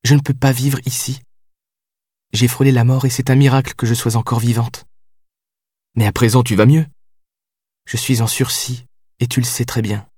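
A man's voice: medium pitch, flat delivery, normal speed, pauses often, slightly rough, no echo, clean studio sound, lightly acted. A man reading an audiobook voices a passionate, heartbroken young woman who pleads and cries out. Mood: resigned, gentle. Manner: pleading, then shouting through tears. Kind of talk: audiobook character voice.

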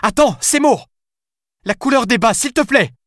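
A man's voice: sits high, fairly quick, no echo, clean studio sound, voice pushed up, lightly acted. A man reading aloud, voicing an urgent, commanding young female police officer. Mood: alarmed. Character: tense young female French cop.

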